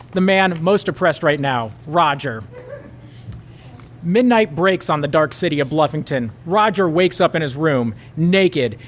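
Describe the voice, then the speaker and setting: A man reading a raunchy comic story aloud at a live show, with mock-dramatic narration. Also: a little high.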